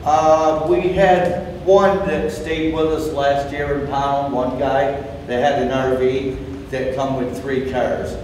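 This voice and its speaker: A man's voice: level tone, takes it slowly, few pauses, a little mellow. A plainspoken man casually explaining an arrangement at a public hearing, in a folksy manner. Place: large town meeting hall, far from the mic. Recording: echoey hall, distant mic, faint rumble.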